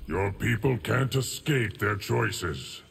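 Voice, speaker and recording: An actor voicing a solemn, stoic, ageless guardian of time in a video game, formal and measured with a gravelly voice. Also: male voice, lowish voice, expressive, fairly bright tone, a little hiss or hum, exaggerated voice.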